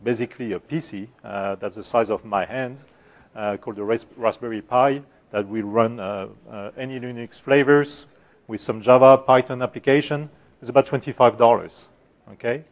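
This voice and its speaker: Soft-spoken French-accented man presenting. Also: medium pitch, steady tone. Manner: halting, many fillers and restarts. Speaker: conference speaker. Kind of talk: technical conference talk. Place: conference room.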